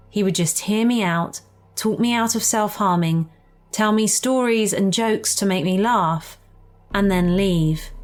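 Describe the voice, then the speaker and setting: A woman narrates a scripted first-person supernatural tale. Her voice sits at an average pitch for a woman. Soft music plays behind her as she reads. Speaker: narrator reading listener-submitted true ghost stories. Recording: music playing under the voice. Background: background music.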